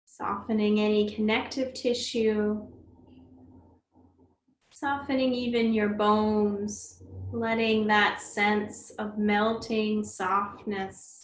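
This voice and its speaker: A woman leading a guided meditation as a teacher, speaking gently and soothingly, unhurried, with long pauses. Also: medium pitch, level tone. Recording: roomy echo, faint background noise.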